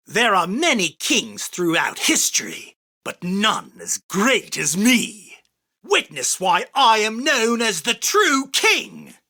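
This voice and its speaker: A man voicing an arrogant, theatrical, self-proclaimed king of card duels in an anime game, with a husky, forceful, declamatory delivery. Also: high voice, heavily acted. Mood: grand, commanding. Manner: pompous, boastful, declamatory. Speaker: voice actor. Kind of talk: anime game character battle lines.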